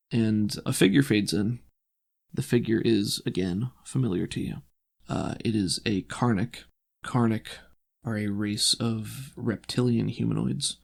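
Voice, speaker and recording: A man narrating a tabletop game as game master, in a measured, descriptive storytelling manner. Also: mid-range voice, vocal fry, a little mellow.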